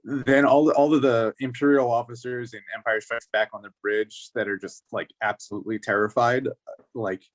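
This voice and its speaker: A man's voice: mid-range voice, steady tone, normal speed, poor audio. A man talking casually as a podcast panelist, working through his points in a hesitant, circling, analytical way. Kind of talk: casual discussion of a TV series.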